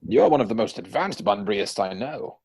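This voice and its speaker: A man's voice: lowish voice, lively intonation, a little fast, vocal fry, darkish tone, husky, full character voice. A man playing a witty, idle young dandy who pries playfully in arch, formally phrased banter, in a dramatic reading of a Victorian stage comedy. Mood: airy, witty. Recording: home recording over video call.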